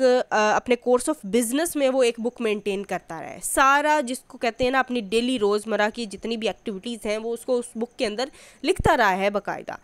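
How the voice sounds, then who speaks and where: Female tutor for explanatory lecture: slightly high pitch, very dry recording, didactic, switches into English for terms and read-out text. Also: dry recording. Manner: didactic, mixes Hindi-Urdu with English terms, repeats check phrases. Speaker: law tutor.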